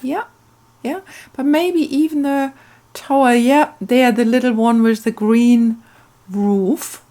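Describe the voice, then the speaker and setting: Slow-paced woman narrating. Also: on the high side, fairly even intonation, warm tone. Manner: musing, hesitant, thinking aloud. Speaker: craft video host. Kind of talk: casual craft narration and slow storytelling.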